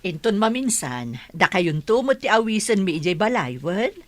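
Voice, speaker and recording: A woman's voice: mid-range voice, sing-song, normal speed, a little hiss or hum, natural voice. A woman playing a kindly, encouraging middle-aged mother and aunt in a radio drama.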